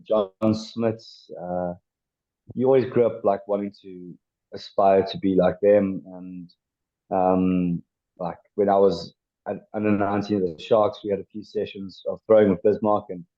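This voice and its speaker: A South African man in his twenties talks through his past as a guest on a podcast. His voice sits on the low side and comes through a muffled, lo-fi recording. He hesitates a lot, filling gaps and restarting sentences. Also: little pitch movement, a little slow. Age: 20s. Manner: hesitant, many ums and restarts. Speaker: podcast guest, former rugby player. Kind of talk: casual interview chat. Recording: dull lo-fi sound.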